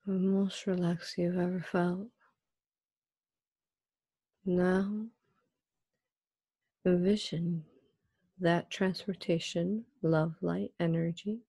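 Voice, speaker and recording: A woman leading a guided meditation in a soft, breathy voice, soothing and hypnotic, with drawn-out, repeated phrases. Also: fairly low pitch, no echo.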